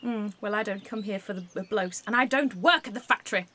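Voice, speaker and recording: A woman plays a prim, self-important village organiser. She speaks with haughty pomposity at a medium pitch, as in an amateur radio play. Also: fairly quick, pushed and bright.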